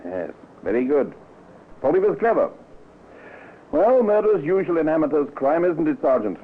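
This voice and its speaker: A cautious, sharp-minded police inspector from a radio crime play. His voice swings widely in pitch and is somewhat rough, with a dry, probing courtesy. It comes through a tinny, narrow old broadcast. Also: sing-song, normal speed. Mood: methodical. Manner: dry, methodical, politely probing. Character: shrewd, cautious male London police inspector. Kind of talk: old-time radio acting.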